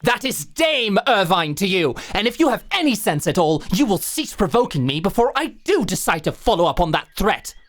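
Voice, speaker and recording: A woman's voice: medium pitch, very animated, moderate pace, few pauses. A woman playing a proud, short-tempered knight in a fantasy audio drama, with a formal, clipped and tightly controlled manner. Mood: irritated, threatening.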